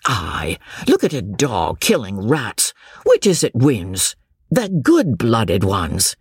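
A narrator voicing a tipsy young English gentleman student, boastful and jovial, rambling in slang and laughing at himself. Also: medium pitch, huge pitch swings, slow, breathy edge, dry recording, heavily acted.

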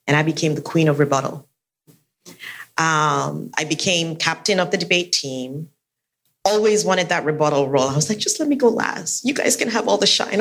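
Low-voiced, self-assured woman from Nigeria. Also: fairly bright tone. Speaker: panelist. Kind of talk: personal storytelling on a panel.

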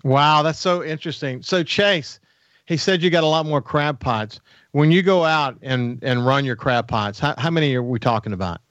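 A man's voice: fairly high pitch, normal speed, fairly bright tone, no room sound, lo-fi sound. A man hosting a radio talk show, interviewing guests in an upbeat, chatty way and often restarting his words. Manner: enthusiastic, repeats words as he restarts.

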